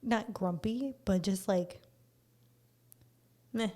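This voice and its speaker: A woman co-hosting a podcast in casual, low-key chat, with a slightly raspy voice and sounding a little tired. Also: takes it slowly, dark tone. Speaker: podcast co-host.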